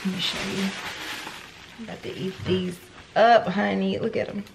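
Roomy kitchen sound, light noise, young woman, American accent, casual vlog chat, animated rambling storytelling. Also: mid-range voice, moderate pace, vocal fry. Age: young adult. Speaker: lifestyle vlogger. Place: home kitchen, indoors. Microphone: camera on the counter, about arm's length. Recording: echoey room, some background noise.

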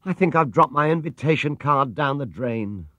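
A man's voice: medium pitch, lively intonation, normal speed, pushed and bright, no room sound, dull audio, pitched above the performer's own voice. A man voicing a small, polite young bear who speaks earnestly and hopefully.

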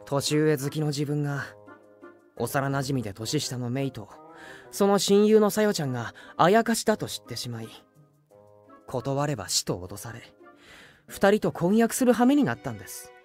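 A woman's voice: low voice, animated, moderate pace, a little mellow, soft background music. A woman playing a polite, bewildered teenage boy in an anime audio drama, hesitant and earnest. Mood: rueful narration.

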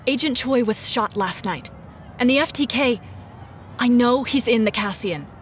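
A woman's voice: fairly high pitch, moderate pace, a little hiss or hum, natural voice. A woman playing a determined young agent. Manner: urgent, determined. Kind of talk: audio drama acting.

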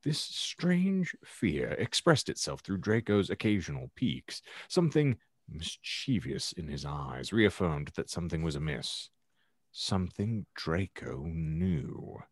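A man reading fiction aloud in a theatrical, ornate storytelling style with dramatic pauses. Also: low-pitched, very animated, slightly rough, dry recording, clean studio sound. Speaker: podcast host reading aloud his own fan fiction. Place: indoor room at home, video call.

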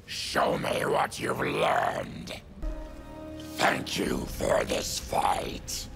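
A man's voice: extremely slow, music in the background. A man voicing a fanged mutant warrior in a fighting game, grim yet honorable, with a guttural growl. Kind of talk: video game fight dialogue.

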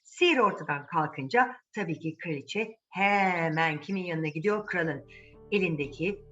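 A woman's voice: on the low side, normal speed, fairly bright tone. Woman storyteller with music behind. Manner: conversational, asks listeners questions. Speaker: children's storyteller. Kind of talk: animated storytelling addressed to children. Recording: muffled audio with music behind.

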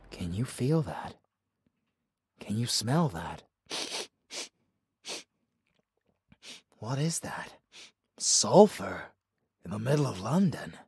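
Slow, deep vampire lover played by a man. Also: darkish tone, husky, no room sound, clean studio sound, heavily acted. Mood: alarmed, bewildered.

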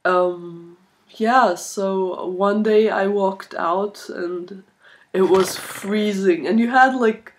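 A woman in her twenties chatting casually to camera in a halting, thoughtful way, recorded on a laptop microphone in a small room. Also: mid-range voice. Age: 20s. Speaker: video blogger, art student. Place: small furnished room indoors. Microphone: laptop built-in microphone at arm's length.

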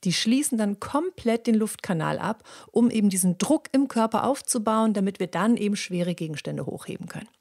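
A woman hosting a podcast, explaining how the voice works in a lively, chatty monologue with many fillers and repeats. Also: mid-range voice, vocal fry. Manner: lively, acts out little dialogues in quick voices; frequent fillers and repeated words. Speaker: podcast host and voice coach.

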